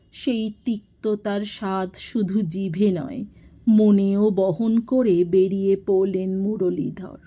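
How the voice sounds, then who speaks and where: A woman narrating a literary audio story in a measured, dramatic way, giving expression to the scripted prose. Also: medium pitch, mellow, dull audio.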